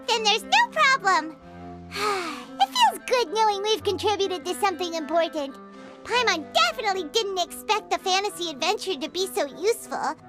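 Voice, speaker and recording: A tiny, excitable fairy sidekick from a video game, with an extremely high, squeaky childlike voice. The delivery swings up and down with great animation over loud music. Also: female voice. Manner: talks about self in third person, expressive.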